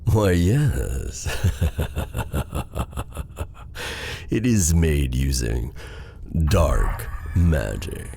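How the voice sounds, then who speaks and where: A man playing an exiled dark sorcerer in a fantasy ASMR audio roleplay, a menacing, flirtatious villain with a theatrical, teasing, deliberate delivery. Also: rumbling low, very animated, creaky, slight background noise, heavily acted. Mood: sly, bargaining.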